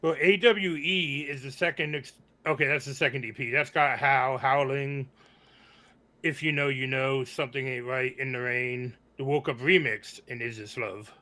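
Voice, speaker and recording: A man's voice: medium pitch, fairly even intonation, moderate pace, sharp tone. A man co-hosting a casual reaction show, chatting conversationally about music.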